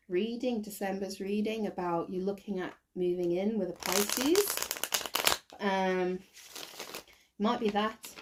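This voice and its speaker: Croaky woman giving a tarot reading. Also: mid-range voice, darkish tone. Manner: thinks aloud with many ums and restarts. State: croaky, recovering from bronchitis. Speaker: tarot reader. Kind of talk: casual tarot reading to camera.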